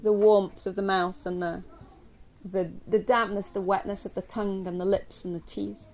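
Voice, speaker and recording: A woman from England leading a guided yoga nidra meditation for a group, speaking gently and slowly with long pauses. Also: medium pitch, rough recording. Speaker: yoga nidra teacher guiding a relaxation. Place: live group session at a camp. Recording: steady ambient noise, live recording.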